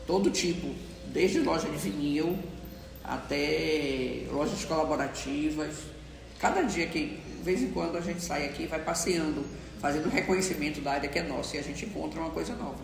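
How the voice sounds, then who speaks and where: A woman who is a longtime resident speaks in a measured, explanatory way in an outdoor documentary interview. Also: low-pitched, vocal fry, crowd noise. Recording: roomy, reverberant, noisy street recording.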